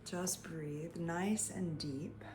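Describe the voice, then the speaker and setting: A woman leading a guided meditation in a soft, soothing voice, leaving long pauses and sometimes trailing near a whisper. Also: fairly low pitch, background noise. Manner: soothing, unhurried, with long pauses between phrases. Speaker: meditation guide and energy healer.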